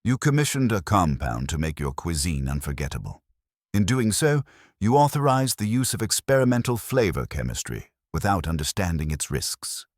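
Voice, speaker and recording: A stern, imperious detective who rules a room from his chair, as a male narrator puts him on. The voice is very deep, yet it rises and falls with lively, deliberate emphasis. Every word lands cold and precise in a close, dry sound. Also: no room sound.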